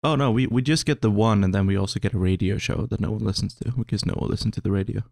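A man's voice: on the low side, fast talker, vocal fry, darkish tone, dry recording, studio quality. A young Swedish man co-hosting a podcast in casual chat, speaking hesitantly with many restarts. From Sweden. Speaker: podcast co-host.

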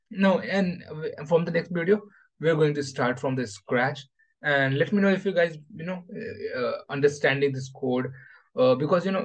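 A man hosting an informal screen-share tutorial, talking in a halting way and repeating his phrases. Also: fairly high pitch, low-quality recording. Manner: halting, repetitive phrasing with frequent "you know". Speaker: host of a coding tutorial channel. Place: voice-over for a screen recording.

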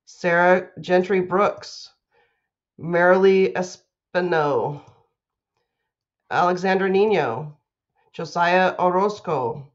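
Lady principal talking to kids, takes it slow, kinda muffled computer mic. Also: lowish voice. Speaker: school principal. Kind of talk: children's read-aloud and announcements.

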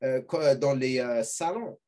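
A man's voice: mid-range voice, measured pace, dark tone. A middle-aged man hosting a podcast over a video call, giving advice and often checking if he is understood. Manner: advising, asks "tu vois ce que je veux dire?" often. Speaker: podcast host. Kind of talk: casual video-call interview. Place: home room with gaming chair. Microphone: webcam on a video call.

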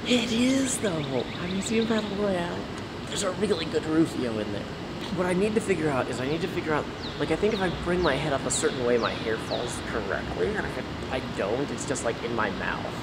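A man's voice: on the high side, lively intonation, brisk. Young guy in a pool chatting off the cuff, lots of outdoor noise humming behind him. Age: young adult. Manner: chatty, hesitant, laughs often. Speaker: vlogger. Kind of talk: casual behind-the-scenes chat. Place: outdoor swimming pool, in the water. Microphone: camera mic a few feet away. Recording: loud outdoor ambient noise.

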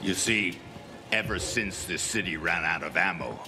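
An actor playing a weary, menacing military colonel in a video game, speaking with slow deliberation. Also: male voice, sits low, close to an everyday voice. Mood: grim, resigned. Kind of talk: dramatic video game acting. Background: music.

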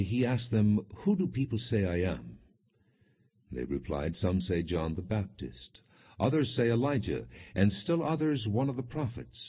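A man reading scripture as an audiobook narrator, in a solemn, measured, scripted storytelling style. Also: deep voice, breathy edge. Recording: muffled, narrow phone-like audio.

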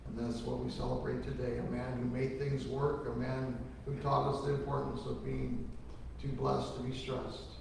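Earnest pastor in an echoey church. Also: male voice, medium pitch, moderate pace, vocal fry, recorded from a distance, background noise. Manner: earnest preaching with folksy storytelling. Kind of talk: funeral sermon. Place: large church sanctuary. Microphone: lectern microphone from a far camera.

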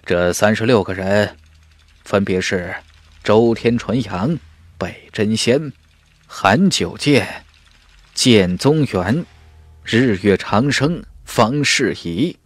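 Audiobook guy doing a friendly know-it-all young warrior, explains stuff, kinda low, very animated. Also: takes it slowly, no room sound. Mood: genial. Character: genial well-informed young male warrior, cheerfully explaining.

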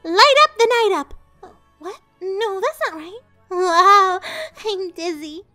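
A woman voicing a bubbly, scatterbrained little magical game creature with an excitable, sing-song manner. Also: extremely high pitch, slow pace, dry recording, faint music behind, voice pushed up, heavily acted. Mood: dizzy, confused.

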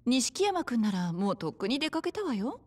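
A woman playing a warm, teasing, motherly bar hostess in a Japanese game drama, easygoing and familiar. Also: on the high side, very animated, moderate pace. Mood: relaxed, caring. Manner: easygoing, familiar, gently chiding.

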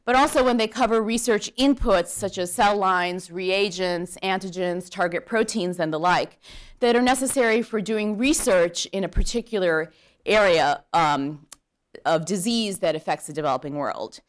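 A woman in her thirties, a law professor, giving a welcoming speech at a podium to a conference audience, with a measured lecture delivery and frequent ums. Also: mid-range voice. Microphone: podium microphone.

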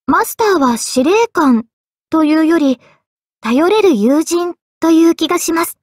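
A woman playing an earnest, polite, quietly self-deprecating young female mage in expressive anime game voice lines. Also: extremely high pitch, unhurried, dry recording, clean studio sound. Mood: polite, friendly. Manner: polite, expressive, shifting between excited and subdued.

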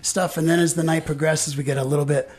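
Husky, higher-voiced man chatting casually. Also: steady tone, fast talker, darkish tone. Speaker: band member, radio guest. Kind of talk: casual interview chat.

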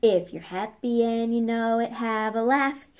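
A woman's voice: on the high side, a little slow, darkish tone, natural voice. A woman voicing a giggly, tickled hyena in a sing-song children's storybook style.

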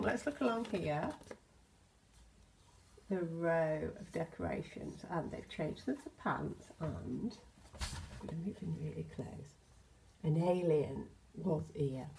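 An older British woman reads a picture book to small children at a very unhurried pace. Her voice sits fairly low and has a crackly edge. She is expressive and often turns to the children with gentle questions. There is slight background noise with some clicks. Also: vocal fry. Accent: British English. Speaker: storyteller, infant school teacher. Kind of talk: children's picture book read-aloud with asides. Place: indoors in a home hallway.

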